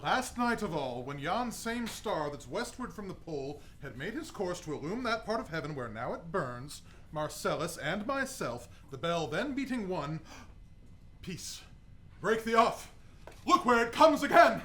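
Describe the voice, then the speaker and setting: High, urgent night sentry played by a man. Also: big pitch swings, unhurried, pushed and bright, slight background noise, heavily acted. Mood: alarmed. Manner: dramatic, urgent. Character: frightened night sentry recounting a ghost sighting. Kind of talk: live radio play, Shakespearean stage acting.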